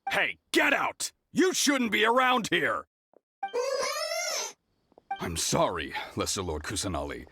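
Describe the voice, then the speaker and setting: A man's voice: on the high side, sing-song, slow pace, gravelly, long pauses, noisy background, heavily acted. A man voicing a stern, agitated but deferential city guard in an English game dub. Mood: angry.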